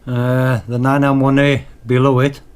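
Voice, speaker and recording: A man talking off the cuff as a radio hobbyist reviewing his gear, in a halting, repetitive, enthusiastic way. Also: medium pitch, little pitch movement, darkish tone, clear, resonant tone. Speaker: radio hobbyist presenting own channel. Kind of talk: casual off-the-cuff equipment review.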